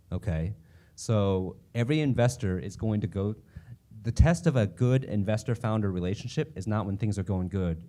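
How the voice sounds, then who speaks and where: Male panelist, low mellow voice, practical and conversational, checks in with "okay?". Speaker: panelist investor. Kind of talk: panel advice.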